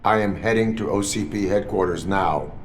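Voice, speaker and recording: An actor playing a stoic male cyborg police officer, speaking in flat, clipped, formal lines in a video game cutscene. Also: on the low side, normal speed, a little hiss or hum, close to an everyday voice. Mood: stern.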